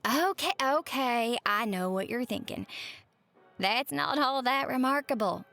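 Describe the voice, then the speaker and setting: A cheerful, folksy waitress with a Southern US accent , played by a woman, chats to a late-night customer in a roleplay audio. Her voice sits on the high side and is expressive as she spins her stories. Faint music plays behind her. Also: unhurried, exaggerated voice. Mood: chatty, amused. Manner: folksy, expressive, uses pet names. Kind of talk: roleplay monologue to the listener, chatty storytelling.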